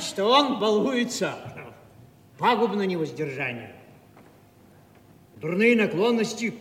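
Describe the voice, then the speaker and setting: An actor playing a timid, rambling old father in a theatrical audio play, halting and repeating himself. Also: high voice, sharp tone, full character voice. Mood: solemn, self-important. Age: older. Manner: hesitant, repetitive, flustered and halting. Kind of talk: theatrical stage acting of a Russian classic. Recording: echoey hall, distant mic, faint noise.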